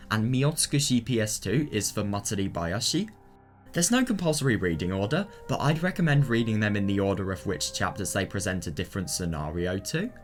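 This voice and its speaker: A man narrates an explainer in a fairly low voice that rises and falls in a lively way. He sounds informal and opinionated, recommending as he goes. Soft instrumental music plays behind him. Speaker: presenter of a reading guide.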